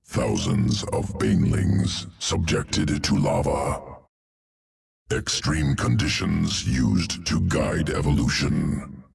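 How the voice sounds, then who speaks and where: Game creature: an emotionless alien evolution master. Very low, gravelly, slow and clipped. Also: male voice, lively intonation, exaggerated voice. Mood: clinical. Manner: clipped, speaks in terse noun fragments. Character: cold clinical male alien evolution master. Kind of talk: video game cutscene acting. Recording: distant game audio with loud music.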